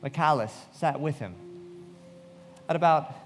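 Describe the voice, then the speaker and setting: An actor playing a reflective, loyal young narrator in a live radio play, with measured dramatic delivery in a reverberant hall. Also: male voice, a little high, soft background music. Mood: reflective, sombre.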